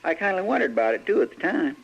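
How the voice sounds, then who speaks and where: An actor playing a garrulous, good-natured frontier deputy with a rural American drawl and a rambling, homespun manner in a radio Western. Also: male voice, high-pitched, sing-song, quick pace, gravelly, husky, exaggerated voice. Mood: earnest, rambling. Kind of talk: old-time radio Western acting. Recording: dull vintage radio recording.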